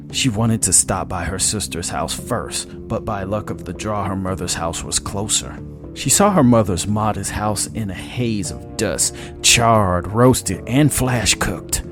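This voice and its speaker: A man with a low-pitched voice narrates a dramatic piece of scripted fiction. Guitar music plays clearly behind him as he tells the story.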